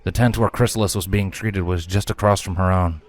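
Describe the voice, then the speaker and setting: A man narrates a fantasy story from a script. His voice sits fairly low as he tells the tale in the role of storyteller. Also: steady tone, a little fast. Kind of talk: scripted fan fiction narration.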